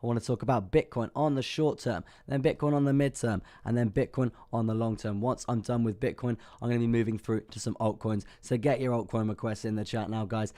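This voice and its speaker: A young man hosts a crypto livestream with a hoarse, worn-out voice, talking casually with lots of ums and restarts. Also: medium pitch, steady tone, brisk, few pauses, dark tone. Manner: chatty, self-deprecating, many ums and restarts. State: hoarse, lost voice. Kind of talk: casual market analysis livestream.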